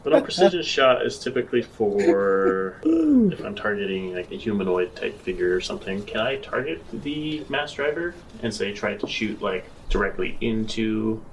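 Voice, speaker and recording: Lowish-voiced man playing a tabletop game. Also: sing-song, moderate pace, slight background noise, poor audio. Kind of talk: casual in-game chat. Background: background music.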